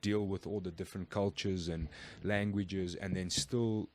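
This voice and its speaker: A man hosting a podcast, interviewing guests with brisk, encouraging replies. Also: deep voice, fairly even intonation, slight background noise. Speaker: podcast host.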